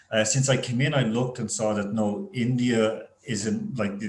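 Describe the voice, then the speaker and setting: Irish man, low voice, echoey room. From Ireland. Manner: chatty, anecdotal, rambling. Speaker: university international relations director. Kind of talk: webinar panel talk. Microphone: video call. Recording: echoey room on video call.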